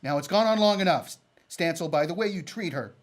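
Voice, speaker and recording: A man's voice: a little high, lively intonation, brisk, close to an everyday voice. A man playing an earnest, stubborn cowboy in love, plainspoken and rustic, in a radio Western drama. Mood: defiant. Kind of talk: old-time radio Western acting.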